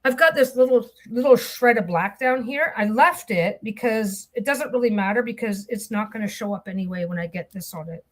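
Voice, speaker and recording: A woman's voice: on the high side, animated, a little fast, hardly any pauses. A middle-aged woman talking casually while she sews, telling a long meandering story with many restarts and distracted asides. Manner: chatty, meandering storytelling with frequent restarts and self-interruptions; distracted asides about her sewing. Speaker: vlogger sewing on camera. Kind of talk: rambling casual chat while sewing.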